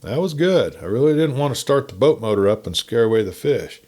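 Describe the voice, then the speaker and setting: A man's voice: medium pitch, expressive, brisk, darkish tone. A man reading a folksy first-person story in a campfire-tale narration style.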